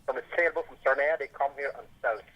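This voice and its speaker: Over a muffled, lo-fi phone line, a man with a Belizean accent gives his opinion on a call-in show. His pitch is average, and his delivery is stern, emphatic and complaining. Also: racing. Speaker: radio caller, tour guide.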